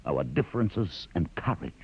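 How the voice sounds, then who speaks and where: An actor playing a grave, learned mutant leader in a 1950s radio drama, speaking solemnly and deliberately with a husky voice. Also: male voice, low-pitched, big pitch swings, heavily acted. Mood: grave, probing. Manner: solemn, deliberate, theatrical delivery. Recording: muffled vintage 1950s radio recording.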